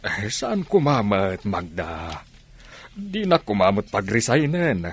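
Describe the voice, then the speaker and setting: Low, husky actor as evasive husband. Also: male voice, very animated, slow, exaggerated voice. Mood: pleading. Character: cheating husband, evasive and slow-talking. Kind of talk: Ilocano radio drama acting.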